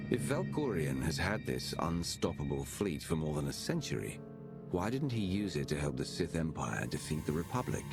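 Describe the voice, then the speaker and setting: An actor playing a stoic warrior hero in a video game, grave and direct. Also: male voice, rumbling low, big pitch swings, normal speed, gravelly, over music, full character voice. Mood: serious, questioning. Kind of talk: dramatic video game acting.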